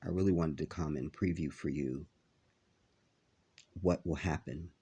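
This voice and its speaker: A man talking to his viewers in a halting vlog, with a nasal, congested voice as he recovers from an illness. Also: extremely low pitch, fairly even intonation, warm tone, slightly rough. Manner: halting, many filler pauses. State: stuffy, congested after illness. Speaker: vlogger. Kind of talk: casual vlog talk to viewers.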